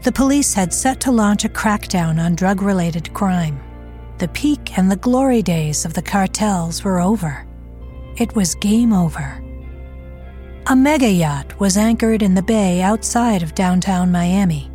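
A woman's voice: mid-range voice, lively intonation, unhurried, warm tone, soft background music. A woman narrating a scripted crime audio drama.

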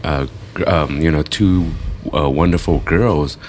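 Very deep-voiced halting male co-host. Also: darkish tone, slight background noise. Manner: halting, lots of fillers and backchannels. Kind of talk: casual interview.

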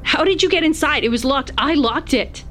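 Casting: actress for hostile female survivor, post-apocalyptic audio drama. Higher register, demanding, coarse-tongued, music underneath. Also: normal speed, a bit bright. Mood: hostile, suspicious. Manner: confrontational, foul-mouthed, demanding. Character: hostile, suspicious woman survivor guarding her shelter.

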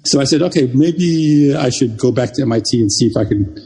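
Older man from Jamaica, Jamaican first language, interview guest; mellow, husky voice, halting delivery with a long reverb. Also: medium pitch, expressive. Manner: halting, many restarts and repeated words. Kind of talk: reminiscing interview talk.

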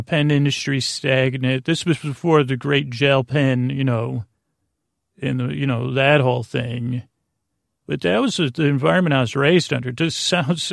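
A man in his forties with a gravelly, creaky voice at a middling pitch, recorded very dry. He hosts a bedtime podcast as a casual ramble, wandering and stumbling over his phrases, often leaving a thought half finished. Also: no echo. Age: 40s. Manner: meandering, halting, repeats and trails off mid-thought. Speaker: sleep podcast host.

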